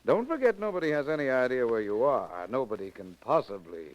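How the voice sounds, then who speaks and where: An actor playing an impatient, mocking kidnapper and interrogator in 1940s radio drama style, with theatrical, threatening, formal phrasing. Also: male voice, medium pitch, very animated, fairly quick, highs cut off, close to an everyday voice. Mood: gloating threat.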